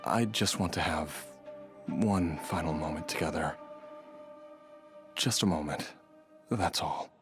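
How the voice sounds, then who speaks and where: A man's voice: extremely low pitch, slow, pauses often, full character voice. A man playing an ailing, resigned and devoted husband and father in a video game, weary and earnest. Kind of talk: dramatic video game voice acting. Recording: music underneath. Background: background music.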